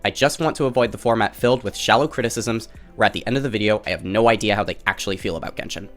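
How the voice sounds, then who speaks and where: A man reading a scripted critique video in a measured, careful way, sounding nasal while recovering from an illness. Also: fairly low pitch, a bit bright, faint music behind. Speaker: video essayist. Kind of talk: scripted commentary.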